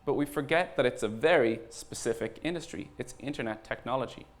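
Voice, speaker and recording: An Irish man speaking as a startup founder at a conference, informal and earnest, pausing deliberately for emphasis. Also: mid-range voice. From Ireland. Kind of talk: conference talk.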